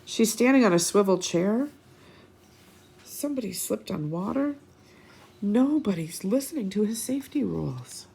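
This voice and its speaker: Animated, husky-voiced woman reading to children. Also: medium pitch. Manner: expressive, pausing for asides and questions to young listeners. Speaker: children's storytime host. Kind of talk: animated picture-book read-aloud for children.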